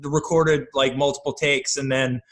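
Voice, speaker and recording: A man's voice: mid-range voice, flat delivery, normal speed, fairly bright tone. A man chatting casually and enthusiastically as a guest creator in a podcast interview. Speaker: podcast guest, comedy audio drama creator.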